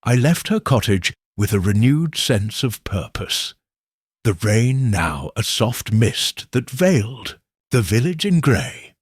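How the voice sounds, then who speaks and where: A man narrating a mystery story in a measured, unhurried storytelling manner. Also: mid-range voice, slightly rough, no room sound, studio quality.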